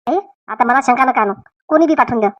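Excitable cartoon villager, voiced by a woman: raised pitch, emphatic comic delivery, rural Vidarbha Marathi accent, dry studio-like sound. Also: fast talker, no echo, dull audio, lightly acted. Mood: eager.

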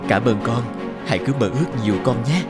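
A medium-pitched man acting plays a strict but loving father in an animated story. His delivery is earnest and firm, with animated intonation. Loud music plays behind him. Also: fast, natural voice. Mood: tender. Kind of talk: animated moral-story dubbing.

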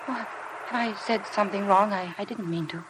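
An actress playing a shy, self-effacing young paid companion in a 1950s radio drama. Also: female voice, mid-range voice, brisk, noisy background, lightly acted. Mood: nervous, eager. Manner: hesitant, apologetic. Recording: old radio broadcast with music.

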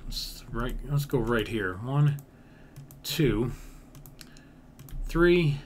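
Man, medium pitch, casual gaming commentary, thinking aloud, repetitive folksy phrasing, faint noise, keyboard clicks. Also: animated, drawn-out words, darkish tone. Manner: thinking aloud, repeating himself, folksy grammar. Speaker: gaming video creator. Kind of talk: casual Minecraft building commentary. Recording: background noise with keyboard typing.

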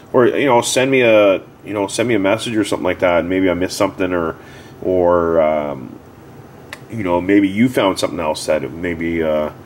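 Deep-voiced man, halting delivery. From Canada. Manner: halting, plain-spoken, repeats himself. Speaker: hot tub repair technician giving a tutorial. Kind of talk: casual unscripted how-to explanation. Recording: clearly audible background noise.

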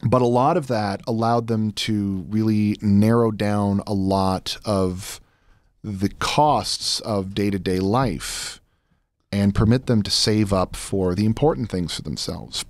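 An American man in his thirties, a podcast guest telling personal stories in a halting but emphatic way. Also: fairly low pitch, darkish tone, no room sound. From Florida, United States. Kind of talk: conversational personal storytelling. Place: small podcast studio, indoors. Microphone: close boom studio mic with headphones.

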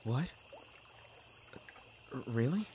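A man's voice: mid-range voice, animated, extremely slow, dark tone, slight background noise. Man acting a subdued, self-doubting fantasy hero. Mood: surprised.

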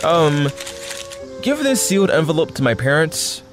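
A man's voice: on the high side, big pitch swings, a little slow. A young man playing an earnest, troubled secret superhero in an audio drama, with a hesitant, pleading delivery. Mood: earnest, weary. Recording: music behind the voice. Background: music.

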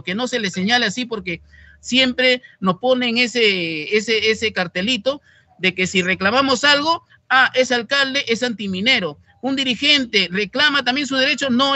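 High, bright-voiced impassioned man. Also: moderate pace, no room sound. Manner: emphatic, indignant, repeats for stress. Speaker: mayor. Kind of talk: impassioned address to a committee. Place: indoor office. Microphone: video call.

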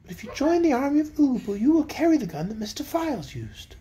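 A man voicing a gentle female sky fairy in a storybook reading, light and lilting. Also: extremely high pitch, wildly swooping pitch, fast talker, pitched above the performer's own voice, heavily acted. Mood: coaxing.

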